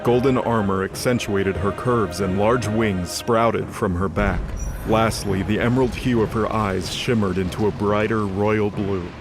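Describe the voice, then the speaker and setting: A man's voice: on the low side, steady tone, measured pace. A man giving scripted dramatic narration as the narrator of an audio drama. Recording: music under the voice.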